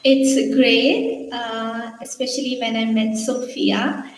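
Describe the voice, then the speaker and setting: Indian woman interviewee, high and bright-toned, cheerful, recorded in a reverberant room. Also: flat delivery, takes it slowly. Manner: cheerful, effusive, sprinkled with "you know". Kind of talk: casual interview answers. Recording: echoey room with long reverb.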